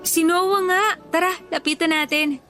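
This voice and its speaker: A woman's voice: extremely high pitch, steady tone, moderate pace, sharp tone, soft background music. An actress playing a coy, defensive young woman in love, in radio drama dialogue. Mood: coy, friendly.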